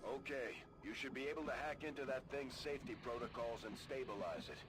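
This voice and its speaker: A man acts an alert tactical officer in a video game. His mid-pitched voice is clipped and urgent as he advises his commander, with clear noise behind him. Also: fairly quick, lightly acted. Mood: focused, tactical. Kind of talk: video game radio briefing acting.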